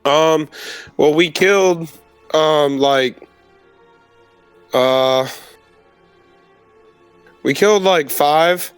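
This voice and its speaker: A man playing a tabletop game, answering casually and haltingly, rephrasing as he goes. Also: a little high, clean tone, dry recording, soft background music. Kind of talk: casual in-character game chat.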